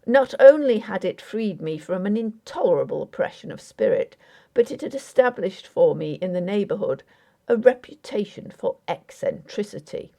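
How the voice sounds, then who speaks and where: A woman narrating a Victorian ghost story as an audiobook in a measured, literary storytelling manner. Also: medium pitch, warm tone.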